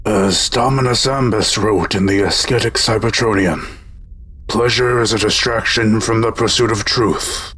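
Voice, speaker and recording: A man playing a pompous, scholarly old robot philosopher in a lecturing manner, with a husky voice. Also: fairly low pitch, unhurried, crowd noise, close to an everyday voice. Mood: lofty, lecturing.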